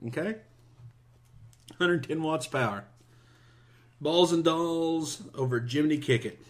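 A man's voice: on the high side, slow. A man co-hosting a casual podcast, reading out game results and joking between them, laughing often. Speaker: podcast co-host. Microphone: headphones.

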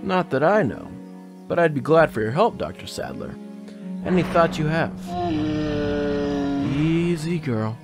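Casting: male actor as wry game ranger, gravelly, animated pitch, plainspoken, audio drama over music. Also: measured pace, long pauses. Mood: matter-of-fact. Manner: plainspoken, dry humour. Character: matter-of-fact, wry male park ranger.

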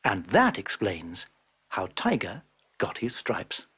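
A middle-aged man reading a children's story aloud, playful and lively, giving the characters their own voices. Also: lowish voice, slow pace, husky.

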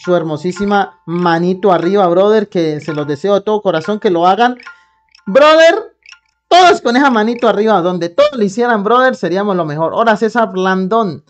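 A man's voice: high voice, lively intonation, a little fast, soft background music. A young Colombian man streaming a game live on a headset mic, greeting viewers by name and calling them brother. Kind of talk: live gameplay stream chat. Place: small room at home.

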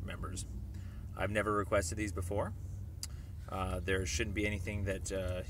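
A man leaving a formal voicemail message in an insistent, deliberate, halting way. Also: fairly low pitch, a bit bright, a little hiss or hum.

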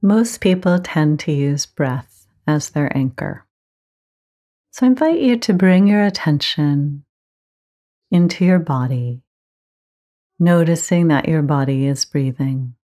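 Slow, low-voiced woman guiding meditation. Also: lively intonation. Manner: gentle, measured instruction with frequent pauses. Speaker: meditation teacher. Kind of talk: guided meditation.

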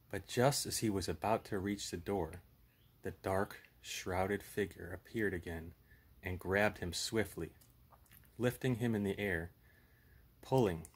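Low, husky man reading bedtime horror. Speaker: puppet show host and storyteller. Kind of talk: horror story read-aloud with casual chat.

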